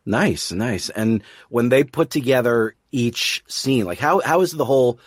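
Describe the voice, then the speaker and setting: A man's voice: medium pitch, expressive, a little slow, no room sound, studio quality. A man hosting a casual interview, informal in his talk and rephrasing his questions as he goes. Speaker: podcast host, interviewer.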